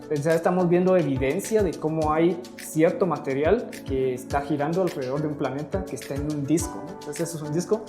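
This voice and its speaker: A man from Guatemala, a guest astrophysicist, explaining research in a relaxed way, often ending with tag questions and correcting himself. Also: fairly high pitch, a little fast, few pauses, reverberant, music in the background. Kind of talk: conversational explanation.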